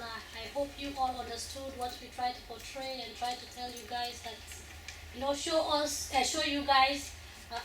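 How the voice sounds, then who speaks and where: Woman moderating in an echoey hall. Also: high-pitched. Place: school hall. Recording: echoey hall from far off, noisy.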